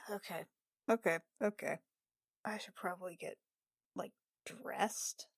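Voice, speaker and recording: A woman playing a tabletop game, chatting casually in and out of character in a halting, informal way that circles back on itself. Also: on the low side, gravelly, husky, no room sound, studio quality. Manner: halting, informal, repeats herself.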